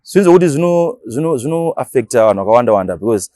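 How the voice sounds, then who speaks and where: A man's voice: mid-range voice, animated, racing, dark tone, no room sound, clean studio sound. A man with a Zimbabwean accent, talking casually as a radio DJ being interviewed, mixing languages and repeating words for emphasis.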